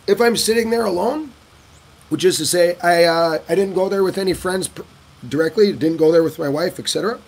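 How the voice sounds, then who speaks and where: A man casually answering viewer questions in a vlog, telling stories in an animated way and acting out other people's lines. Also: brisk, husky. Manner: animated storyteller who acts out locals' lines in a higher voice. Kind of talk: casual talking-head Q&A.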